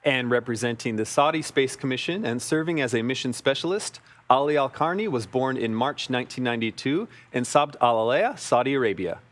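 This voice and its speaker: A man co-hosting live mission coverage, reading scripted crew biographies in an even delivery. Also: medium pitch, a little fast, few pauses. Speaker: broadcast co-host.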